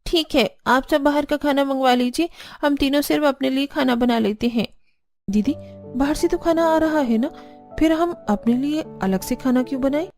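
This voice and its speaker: A woman plays a young daughter-in-law with a high, soft-toned voice. She is plaintive and put-upon, as in a cartoon family drama. Faint music plays underneath. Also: moderate pace. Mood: aggrieved. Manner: complaining, pleading. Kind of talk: Hindi cartoon story acting.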